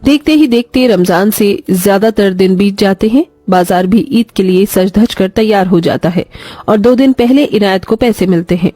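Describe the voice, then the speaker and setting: A woman's voice: mid-range voice, moderate pace, dark tone, no room sound. A woman narrating a scripted moral story as a storyteller. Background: faint music.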